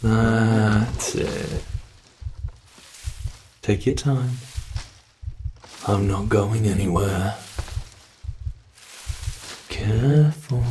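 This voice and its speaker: A man's voice: lowish voice, background noise. A man with a British accent playing a mocking male monster who hunts a human, in an ASMR horror roleplay. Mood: coaxing. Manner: mocking, drawn-out coaxing, impatient. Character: teasing predatory male monster hunting a human.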